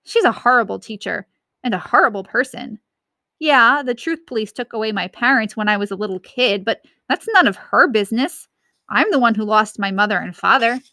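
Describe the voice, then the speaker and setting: A woman's voice: fairly high pitch, a little fast, sharp tone, no echo, studio quality. A woman voicing a nervous but defiant schoolboy in a storybook, earnest and indignant.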